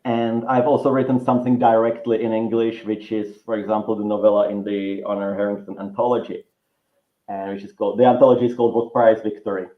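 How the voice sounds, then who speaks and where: A man's voice: fairly low pitch, flat delivery, fast talker. Czech-accented man, guest author, roomy echo, dull lo-fi sound, rambling speech. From Czech Republic. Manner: rambling, many restarts and fillers. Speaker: guest author and writing teacher. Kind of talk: relaxed interview conversation.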